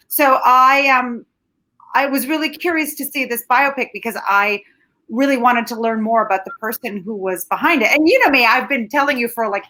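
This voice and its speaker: A woman from Canada whose first language is Ukrainian, giving candid, animated opinions as a film critic. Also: a little high, low-quality recording. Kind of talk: casual film review chat.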